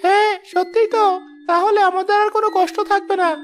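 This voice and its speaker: A woman voicing an eager, caring young grandchild in an animated village moral story, earnest and reassuring. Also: very high-pitched, quick pace, faint music behind.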